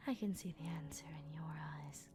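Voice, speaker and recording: A woman voicing a possessive female vampire in an ASMR roleplay, breathy and often whispering, with a deliberate, teasing, commanding manner. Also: low voice, voice pushed down, close to an everyday voice. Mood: bitter, intense. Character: predatory female vampire, possessive, coolly menacing. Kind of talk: ASMR roleplay, intimate monologue.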